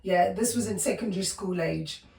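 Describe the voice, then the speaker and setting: A South African woman in her fifties, a podcast guest telling her life story with many pauses, fillers and restarts. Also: medium pitch, vocal fry, darkish tone, reverberant, recorded from a distance. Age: 50s. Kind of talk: personal storytelling interview.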